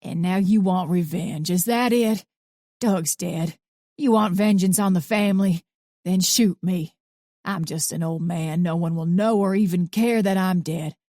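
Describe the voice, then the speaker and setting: A woman voicing a bitter, mean old man in his eighties who sneers and talks in rough country grammar. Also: fairly low pitch, measured pace, dark tone, dry recording, clean studio sound, lightly acted.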